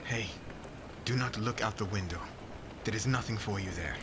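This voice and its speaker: A man playing a stern, brooding witch hunter in an ASMR fantasy roleplay, menacing and deliberate, often dropping into breathy half-whispers. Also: fairly low pitch, background noise, close to an everyday voice. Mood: stern, guarded. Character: stern, brooding young male witch hunter, captor aboard ship. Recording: loud rain, thunder and sea ambience.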